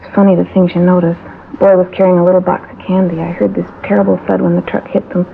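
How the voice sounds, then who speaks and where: An actress playing a talkative, shaken theater cashier who witnessed an accident, in 1950s radio drama style. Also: female voice, on the low side, little pitch movement, fast, creaky. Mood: shaken, earnest. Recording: tinny, hissy vintage radio recording.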